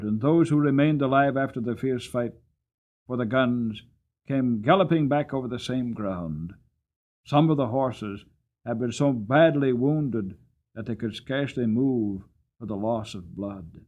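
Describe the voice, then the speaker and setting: A man voicing an old war horse in a storybook, mournful and proud, with solemn, measured, emotive delivery. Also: mid-range voice, a little mellow. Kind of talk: dramatic audiobook reading.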